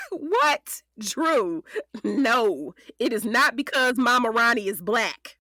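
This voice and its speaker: Sharp lawyer lady in a radio play, high lively voice, sarcastic but caring. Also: takes it slowly, dry recording, studio quality, heavily acted. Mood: amused. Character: sharp, determined female defense attorney, sarcastic yet caring. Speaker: actor in audio drama. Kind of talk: audio drama acting.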